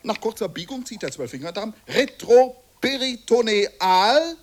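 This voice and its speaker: A man's voice: sits high, very animated, measured pace. A man performing an eccentric anatomy lecturer in an avant-garde radio play, theatrical, stretching words and swooping erratically. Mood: pedantic, erratic. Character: eccentric anatomy lecturer slipping into manic wordplay. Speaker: performer in a spoken-word sound composition.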